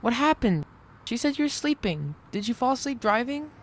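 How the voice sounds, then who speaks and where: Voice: mid-range voice, very animated, moderate pace, slight background noise. A performer playing a protective, level-headed boy, a big brother, in an audio drama. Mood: concerned.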